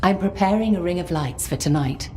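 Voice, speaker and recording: A woman playing a brisk, teasing fantasy character in a video game, with a wry, sarcastic delivery. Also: on the low side, animated, a little mellow, slight background noise, lightly acted. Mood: wry, breezy.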